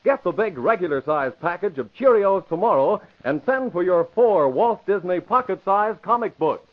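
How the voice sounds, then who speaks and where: Vintage American male announcer: highish, declamatory, lively inflection, dull radio sound. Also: moderate pace. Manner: emphatic, declamatory. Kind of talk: 1940s radio announcing, scripted. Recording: dull old radio recording.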